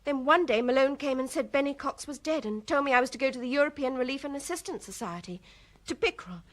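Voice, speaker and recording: Frightened young English woman, radio drama, high voice, hesitant and cooperative under questioning. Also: expressive, fast. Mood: subdued confession.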